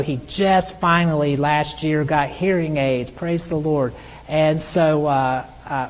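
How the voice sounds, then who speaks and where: A middle-aged male missionary preacher with a soft, high voice that is often taken for a woman's, telling stories in a conversational sermon with frequent restarts. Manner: conversational storytelling with repeated restarts. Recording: long hall reverb.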